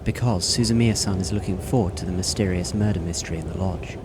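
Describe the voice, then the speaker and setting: Guy doing a calm polite teen boy, real deep voice, formal and analytical, noisy home recording. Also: fast talker, natural voice. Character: polite, composed teenage boy, calm theorist. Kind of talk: fan audio drama voice acting.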